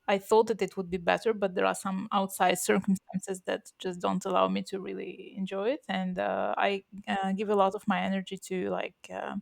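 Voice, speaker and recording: A woman's voice: medium pitch, level tone, moderate pace, vocal fry, few pauses. Chatty bilingual woman podcaster. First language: Russian, bilingual from birth. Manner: chatty, many fillers and restarts, laughs often. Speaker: podcast co-host. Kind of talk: casual podcast chat.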